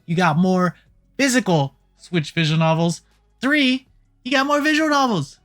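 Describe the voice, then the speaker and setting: A host delivering casual gaming news commentary in an irreverent, jokey way, swearing casually, with a medium-pitched voice. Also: fairly bright tone. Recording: music playing behind the voice. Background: background music.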